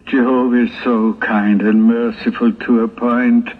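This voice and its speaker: An actor playing an aggrieved, self-pitying Hebrew prophet in a 1960s religious audio drama, with theatrical, drawn-out sighs and moans. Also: male voice, mid-range voice, little pitch movement, natural voice. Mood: relieved, grateful. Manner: theatrical, drawn-out, sighing and moaning monologue. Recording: tinny, noisy 1960s tape recording.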